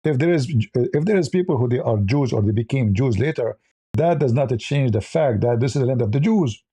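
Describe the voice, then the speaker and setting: A man's voice: medium pitch, animated, fairly quick, dark tone, no room sound. A man who speaks English as a second language, giving combative, informal religious commentary full of repeated rhetorical questions. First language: not English.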